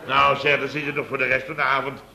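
An actor playing a blustering, indignant boss of a building firm in a Dutch radio comedy, grumbling at length and sputtering with exasperation. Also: male voice, medium pitch, flat delivery, quick pace, natural voice. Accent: Netherlands Dutch. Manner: exasperated, sputtering, long-winded comic grumbling. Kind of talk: Dutch radio comedy acting around 1970. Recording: hissy old radio recording.

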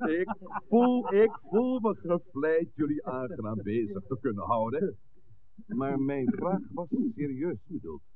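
An actor playing a shrewd old village priest in a 1960s radio play, with a gravelly voice and deliberate, eloquent, theatrical delivery. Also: male voice, fairly high pitch, sing-song, crowd noise. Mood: suave. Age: older. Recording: tinny, band-limited old radio recording, hiss.

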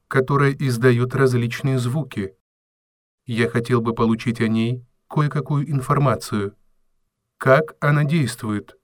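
A man playing a polite, emotionless household robot in an audio drama, speaking formally and precisely in a deadpan, literal way. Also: medium pitch, lightly acted.

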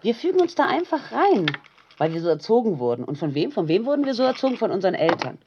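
A woman's voice: medium pitch, big pitch swings, quick pace, darkish tone, slight background noise. An actress playing a combative female partner with radical views in naturalistic radio drama, insistent and challenging with pointed questions. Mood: insistent, provocative. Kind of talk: naturalistic radio play dialogue. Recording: dull, lo-fi home tape sound.

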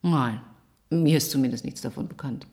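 An actress playing a composed, grave doctor in a German radio drama, speaking in a measured, clinical way. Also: female voice, a bass voice, darkish tone. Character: composed, grave female doctor explaining brain findings.

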